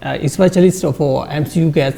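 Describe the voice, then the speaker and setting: A man, a student monk, answering in a halting way with many repeats and frequent "sir". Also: a little high, gravelly, dark tone. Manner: halting, says sir often, repeats words. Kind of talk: interview answers.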